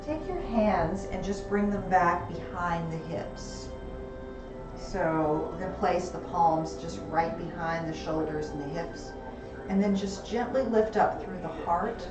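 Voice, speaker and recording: A woman teaching a gentle yoga class, calmly cueing breath and movement, her voice a little gravelly and hoarse. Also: on the low side. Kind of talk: gentle guided yoga instruction. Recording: roomy, distant, music behind.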